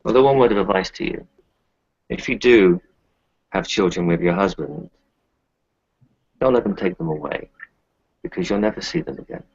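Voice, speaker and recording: A man playing a weary, kindly doctor in a tabletop roleplay, giving measured, melancholy advice. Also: sits low, darkish tone, slightly rough, no room sound, lo-fi sound. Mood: earnest. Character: weary, kindly male kinfolk doctor who lost his children. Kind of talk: tabletop roleplay, improvised in character.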